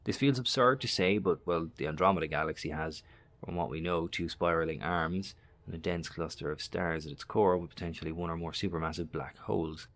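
An actor playing a skeptical, learned investigator in a horror audio drama, talking in a wordy, precise and politely formal way. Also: male voice, low voice, fairly quick, a bit bright. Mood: wary, incredulous.

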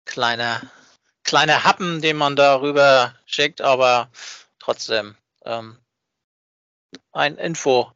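A man in casual podcast conversation, speaking haltingly and often checking for agreement. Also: medium pitch, sharp tone, clear, resonant tone, no room sound, dull audio. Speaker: podcast co-host.

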